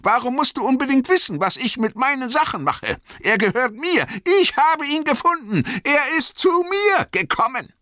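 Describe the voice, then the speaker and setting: Shrill, agitated old hobbit. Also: male voice, sing-song, a little slow, pushed and bright, no echo, clean studio sound, exaggerated voice. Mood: angry, possessive. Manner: stammering, defensive, querulous. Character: elderly male hobbit, possessive, irritable, suspicious.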